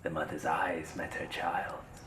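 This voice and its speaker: Mysterious fantasy storyteller, breathy, deep, foreboding, theatrical, echoing room. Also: male voice, little pitch movement, a bit bright, a little hiss or hum. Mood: grave. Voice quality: breathy, whispery. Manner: slow, theatrical, archaic phrasing. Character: mysterious old storyteller at a campfire, solemn and foreboding. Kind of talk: fantasy audio drama narration. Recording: roomy, echoing voice.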